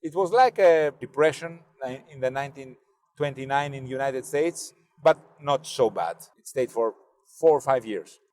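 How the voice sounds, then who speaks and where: A Greek-accented man in his forties talks through his answers slowly and carefully, stopping now and then to find the right English word. His voice sits at an ordinary middle pitch and trails off into a long, lingering reverb. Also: a little mellow. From Greece, Athens. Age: 40s. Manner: measured, searching for words in a second language. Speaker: driver and interview guest. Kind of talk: casual conversation. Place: inside a car.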